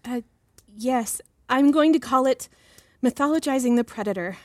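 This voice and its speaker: A woman's voice: high-pitched, normal speed, no echo, lightly acted. An actress playing a modest, diligent young female research assistant, earnest and politely deferential, in a fiction podcast.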